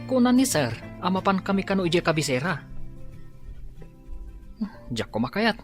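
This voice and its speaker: A deferential employee in a radio drama. Her voice sits a little low, with a Filipino accent. Faint music runs under the dialogue. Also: sing-song, moderate pace. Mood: reluctant. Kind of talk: Ilocano radio drama acting.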